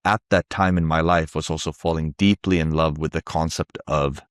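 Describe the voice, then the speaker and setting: A man's voice: very deep voice, steady tone, normal speed, no room sound, clean studio sound. A middle-aged man from Sweden, a native Swedish speaker, explaining things conversationally and reflectively as an interview guest. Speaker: interview guest, tech company founder.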